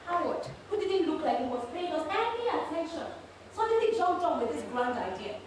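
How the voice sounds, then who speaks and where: A woman's voice: very high voice, very animated, fairly quick. A woman performing theatrical monologue narration as a solo stage storyteller. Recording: echoey hall, distant mic, noisy.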